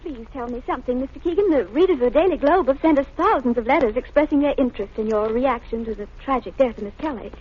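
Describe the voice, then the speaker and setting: A woman's voice: high-pitched, fast talker, hardly any pauses, slightly rough. An actress playing an earnest, anxious young lady sleuth in an old radio drama, well-spoken and a little hesitant. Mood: earnest, probing. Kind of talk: 1930s radio drama acting. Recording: muffled old radio recording, faint noise.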